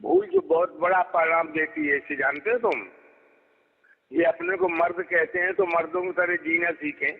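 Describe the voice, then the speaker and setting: High-pitched scolding man on a tinny phone line. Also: expressive, normal speed. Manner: scolding, imperious, interrupting. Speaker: religious preacher. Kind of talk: heated phone argument. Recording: tinny phone call recording with hollow reverb.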